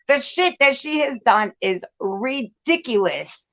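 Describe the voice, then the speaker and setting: A woman's voice: high voice, animated, slow, vocal fry, bright, forceful tone, muffled recording. A woman venting candidly and casually as host, blunt and profane, leaning hard on repeated phrases for emphasis. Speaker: host of a live commentary show.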